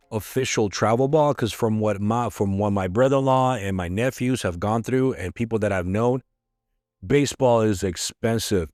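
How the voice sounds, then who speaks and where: A middle-aged man with a hoarse voice hosting a podcast, talking frankly in a casual, conversational way. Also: fairly low pitch, dry recording, studio quality. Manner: frank, conversational, sprinkled with swearing. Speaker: podcast host. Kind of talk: casual solo podcast talk.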